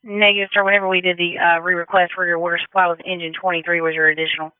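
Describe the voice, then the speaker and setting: A female dispatcher talks quickly and evenly over a thin, phone-like radio channel. She sounds crisp and businesslike as she relays units and confirms details in emergency traffic. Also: lowish voice, flat delivery, dry recording. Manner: businesslike, reads back information. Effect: two-way radio transmission.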